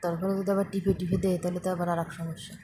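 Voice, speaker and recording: A woman's voice: on the low side, flat delivery, quick pace, mellow, a little hiss or hum. A young woman chats casually and bluntly, with a teasing manner, on a phone call recording. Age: young adult.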